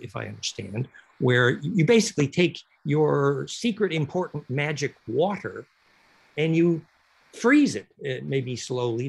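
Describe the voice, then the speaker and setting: A man lectures over a video call in a gravelly, husky voice that swings up and down with lively energy. He rambles through his explanation, filling gaps with uhs and restarts. His pitch sits a little high for a man. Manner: animated, rambling explanation with many fillers. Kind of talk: online lecture.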